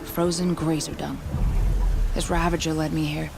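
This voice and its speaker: A proud game huntress with a lowish, creaky voice. She speaks bluntly and with gruff scorn, over clear music. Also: little pitch movement, moderate pace. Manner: blunt, disdainful delivery. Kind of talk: dramatic video game dialogue. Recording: music behind the voice.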